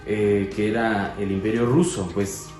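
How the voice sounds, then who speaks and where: A man's voice: fairly low pitch, flat delivery, unhurried. A man explaining history as co-host in a casual educational chat, with many fillers and restarts. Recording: echoey room with music.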